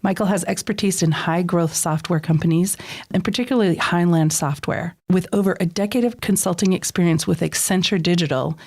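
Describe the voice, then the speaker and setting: A woman with a slightly low voice speaks as an interview host. She presents a guest and puts questions in a conversational setting. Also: a little mellow.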